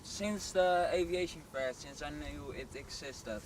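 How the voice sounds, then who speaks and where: A man's voice: a little high, unhurried. A man chatting casually outdoors, speaking hesitantly with many fillers. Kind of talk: casual chat. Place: outdoors near an airport. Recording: faint background noise outdoors. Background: outdoor ambience, vehicles.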